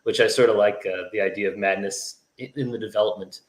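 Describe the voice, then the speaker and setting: Man, lowish voice, measured and thoughtful, guest, roomy video call. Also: steady tone. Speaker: guest, law firm founder. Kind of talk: conversational interview.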